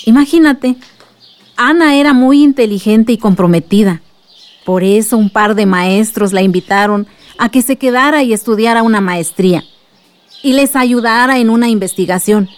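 A woman playing a kindly aunt with a Mexican accent, gently telling memories in a radio drama. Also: on the high side, normal speed, natural voice. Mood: fond, nostalgic. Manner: gentle, reminiscing. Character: kindly aunt telling her village's past to a child.

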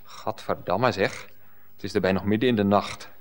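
An actor playing a fussy, reluctant lover who protests in flustered indignation, in a radio comedy play. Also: male voice, lowish voice, normal speed, close to an everyday voice. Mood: indignant.

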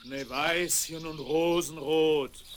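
A man voicing a big friendly bear who calls out in a drawn-out way in a children's fairy-tale radio play. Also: fairly high pitch, fairly even intonation. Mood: gentle reassuring. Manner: slow, drawn-out calling. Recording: noisy 1970s vinyl record.

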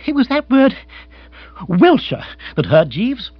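Man acting a high, flustered young lord. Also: huge pitch swings, slow pace, breathy edge, no echo, muffled recording, full character voice. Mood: indignant, flustered. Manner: flustered, exclamatory, upper-class slang. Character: agitated, petulant young English lord in a panic.

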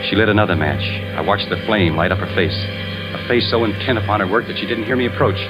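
An actor playing a troubled husband and fire inspector, who moves between brooding narration and intense dialogue in a 1940s radio suspense play. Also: male voice, fairly low pitch, fast, close to an everyday voice. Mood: grim, brooding. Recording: dull 1940s radio recording with music.

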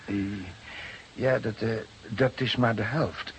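An actor playing a dutiful, hesitant police subordinate in a radio drama, speaking in a halting, apologetic way. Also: male voice, mid-range voice, steady tone, a little hiss or hum.

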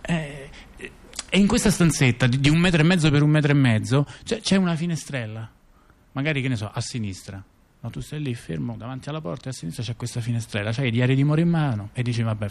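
Male actor as ironic intellectual, mid-pitched voice, conversational theatre monologue, halting, repetitive, rhetorical questions. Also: lightly acted. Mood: wry, conspiratorial. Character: chatty male intellectual telling mafia scandals with irony. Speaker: stage actor-narrator.

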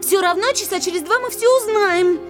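A young, coquettish daughter in a classic comedy audio drama. Her voice is extremely high and whiny. Music is clearly heard behind her. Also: a little fast. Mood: protesting. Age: young adult. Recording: music under the voice.

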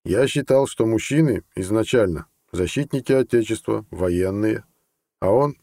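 A man's voice: fairly low pitch, lively intonation, normal speed, gravelly, warm tone, no room sound. A man voicing a blunt, ambitious army colonel, plain-spoken and earnest, in an audiobook. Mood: apologetic. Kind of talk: audiobook character dialogue.

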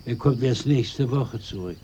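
A man's voice: mid-range voice, unhurried, dark tone, lightly acted. An actor playing a mild male household servant in a German radio drama, polite and slightly hesitant. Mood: mild, obliging. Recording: muffled, faintly noisy radio recording.

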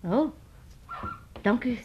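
A woman's voice: a little high, expressive, drawn-out words, lo-fi sound. A woman acting a put-upon innkeeper in a radio drama, curt and complaining in her delivery. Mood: flustered, curt. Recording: old radio play recording, hissy, clicks.

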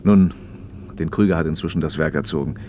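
Very deep-voiced, earnest factory director. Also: male voice, fairly quick, dark tone, slight background noise. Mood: earnest, probing. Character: firm East German factory director, earnest, impatient husband. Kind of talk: German radio drama dialogue.

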